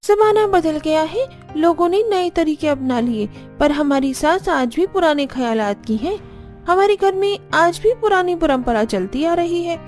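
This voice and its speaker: A bossy elder sister-in-law from a family cartoon, voiced by a woman. Her pitch is high and she sounds resigned to the household's old rules. Light music plays beneath. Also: darkish tone. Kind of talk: cartoon story dialogue.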